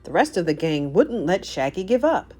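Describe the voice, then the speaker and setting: A woman narrating a children's storybook aloud in an animated, scripted read-aloud style. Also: lowish voice, normal speed, soft background music.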